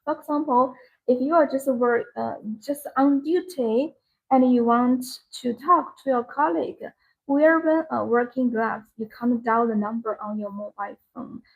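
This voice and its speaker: Non-native english lady doing a live product demo, kinda high voice w/ lots of creak, repeats herself in simple phrases. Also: fairly even intonation, moderate pace, phone-quality sound. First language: not English. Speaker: live-stream sales presenter. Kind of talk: live product demonstration sales talk.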